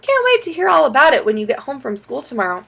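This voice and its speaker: Woman voicing a brisk, high-pitched, caring mom. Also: wildly swooping pitch, creaky, fairly bright tone, exaggerated voice. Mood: kind. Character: brisk, caring mother of a schoolchild. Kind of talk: children's audiobook character.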